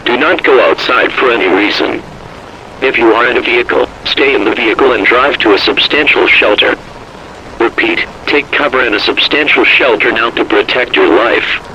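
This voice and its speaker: A man's voice: medium pitch, moderate pace, bright, forceful tone, lo-fi sound, a little hiss or hum. A man delivering a scripted emergency alert in a formal, impersonal, commanding manner.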